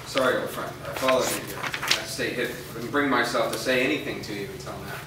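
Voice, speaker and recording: A man's voice: fairly low pitch, fast talker, lightly acted. A man playing a weary, remorseful aging father in a staged reading of a drama. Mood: resigned, apologetic. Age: older. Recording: echoey room, distant mic, faint noise.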